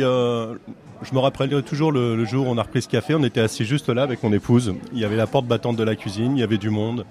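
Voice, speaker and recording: A man's voice: fairly low pitch, a little mellow. A middle-aged French man who owns a café, chatting casually in an interview with many fillers and restarts. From France. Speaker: café owner.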